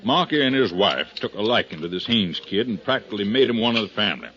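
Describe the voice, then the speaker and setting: A man's voice: lowish voice, animated, quick pace, noisy background, natural voice. An American actor playing a stubborn, sarcastic man in a 1950s radio drama, speaking in a folksy, needling, emphatic way. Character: stubborn, sarcastic man pushing his murder theory. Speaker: supporting actor in a radio detective serial. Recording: muffled 1950s radio broadcast recording.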